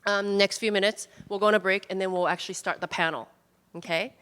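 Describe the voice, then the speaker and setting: A woman's voice: mid-range voice, steady tone, fast, fairly bright tone, clean tone. A woman casually leading a group icebreaker in a large hall, chatty with many fillers. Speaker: workshop facilitator. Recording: hall reverb from a room mic.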